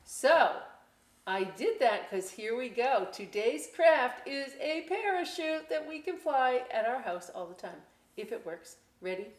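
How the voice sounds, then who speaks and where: A woman's voice: sits high, unhurried. Woman hosting children's story time, roomy distant sound. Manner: animated, folksy, talking directly to young viewers. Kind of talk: read-aloud storytelling with chatty asides for children. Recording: roomy, distant mic with faint hiss.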